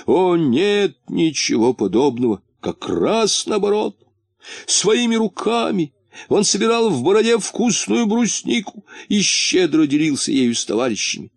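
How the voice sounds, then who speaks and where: A man voicing an anxious, soft-hearted, tearful little storybook character who laments and stammers. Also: lowish voice, no echo, clean studio sound, exaggerated voice.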